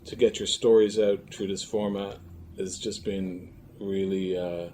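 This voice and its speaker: A man talking as an interview guest in a casual, reflective style, pausing thoughtfully between phrases. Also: deep voice, flat delivery, dark tone. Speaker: filmmaker and audio drama creator, interview guest. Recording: faint background noise, room ambience.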